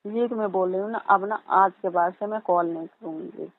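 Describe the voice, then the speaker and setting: A woman's voice: medium pitch, normal speed. A woman chatting casually on a recorded phone call in an insistent, accusing manner.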